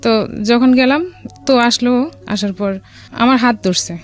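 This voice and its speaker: A woman from Bangladesh with a Bangladeshi accent, telling a personal story as a radio guest in a casual, somewhat halting way. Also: fairly high pitch. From Dhaka, Bangladesh. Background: music.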